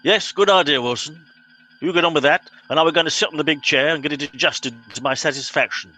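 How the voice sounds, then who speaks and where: A man playing a pompous, bossy middle-aged captain in an amateur comedy radio play, brisk and officious. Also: fairly high pitch, bright, forceful tone, faint music behind, low-quality recording.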